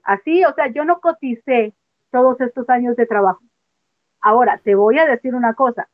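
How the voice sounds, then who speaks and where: A woman's voice: high voice, no echo. A woman giving frank, emphatic testimony as an interview guest over a video call. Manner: frank, emphatic, laughs off sensitive points. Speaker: guest, former member. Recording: dull, dry call audio.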